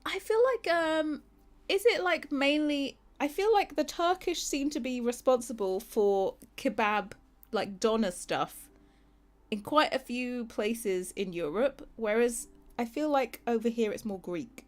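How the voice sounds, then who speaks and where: A woman's voice: fairly high pitch, unhurried. A young British woman chatting casually with her livestream viewers. She rambles, laughs often and sometimes loses her train of thought. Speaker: streamer.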